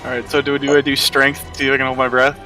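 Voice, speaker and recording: A man's voice: medium pitch, level tone, racing, bright, forceful tone. A man talking casually as a player in a tabletop roleplaying game. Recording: distant mic, faint music behind.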